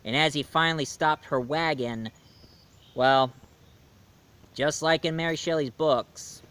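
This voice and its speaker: A man's voice: a little high, unhurried, a bit bright, clean tone. A man reviewing a movie in a casual, rambling way, with fillers and restarts. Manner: rambling, loose, with fillers and self-corrections.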